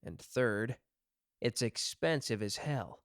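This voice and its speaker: A man's voice: medium pitch, animated, slow, dry recording, clean studio sound. A man acting a suave, teasing young mafia executive with a love of fine wine, speaking playfully to the listener in a roleplay audio. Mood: mildly exasperated.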